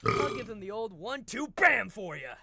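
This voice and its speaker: A man's voice: sits high, sing-song, normal speed, gravelly, a crowd in the background, exaggerated voice. A man playing a cocky, eager young knight who is proud of his muscles, in an anime parody dub. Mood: eager bragging.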